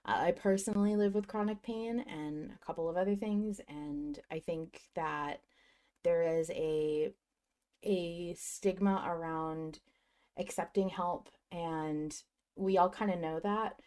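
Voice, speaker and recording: Female podcast host with a low voice, earnest and conversational. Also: slow, darkish tone. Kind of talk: casual reflective chat.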